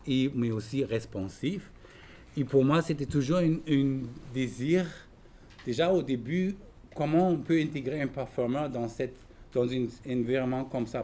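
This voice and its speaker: A middle-aged man giving an artist's talk in a language that is not his first, halting and searching for words with many fillers. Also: medium pitch, darkish tone. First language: not French. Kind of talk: lecture talk.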